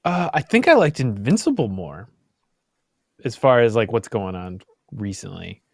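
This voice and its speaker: A man's voice: on the low side, very animated, moderate pace, vocal fry, no echo, studio quality. A young adult man chatting casually and informally, with a sardonic streak and some swearing. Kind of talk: casual group chat.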